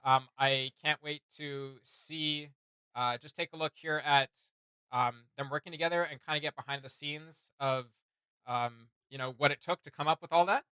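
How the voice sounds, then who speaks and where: A man's voice: on the high side, fairly even intonation, moderate pace, bright, forceful tone, clear, resonant tone, no room sound, rough recording. A man hosting a reaction video with casual, chatty commentary. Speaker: reaction channel host.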